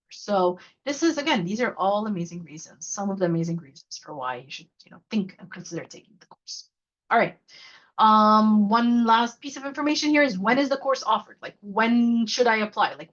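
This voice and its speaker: A woman's voice: medium pitch, fairly bright tone. A woman walks listeners through a course in an online workshop. She talks in a chatty, loose way, with fillers and restarts. Her video call audio sounds a bit dull. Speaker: faculty mentor and local coordinator. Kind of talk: presenting a virtual workshop.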